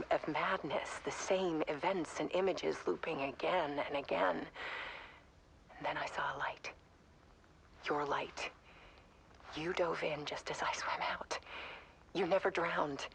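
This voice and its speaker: A whispering devoted wife. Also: female voice, sits low, exaggerated voice, poor audio. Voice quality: soft, intimate. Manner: hushed, breathy whisper. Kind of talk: video game cutscene voice acting.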